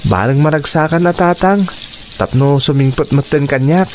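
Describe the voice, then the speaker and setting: An actor with a Filipino accent playing a meek, dutiful son, pleading and apologetic, in a radio drama. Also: male voice, fairly high pitch, moderate pace, slight background noise, close to an everyday voice. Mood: wistful. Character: meek, dutiful son longing for mother's love.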